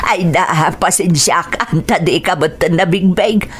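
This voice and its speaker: A woman's voice: on the low side, slow pace, fairly bright tone, husky, full character voice. An actress with an Ilocano accent playing a fussy, exclamatory middle-aged village mother in a radio drama.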